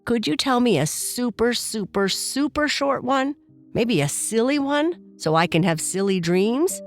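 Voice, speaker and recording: A woman's voice: fairly high pitch, expressive, measured pace, faint music behind, pitched above the performer's own voice. A woman voicing a curious, eager little girl bear cub in a children's bedtime story, chatty and childlike. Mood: sleepy, curious.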